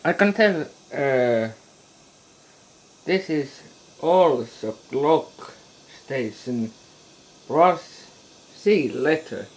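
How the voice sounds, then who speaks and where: A man informally presenting a radio hobby demonstration, talking haltingly in short phrases with long gaps. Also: mid-range voice, lively intonation, low-quality recording.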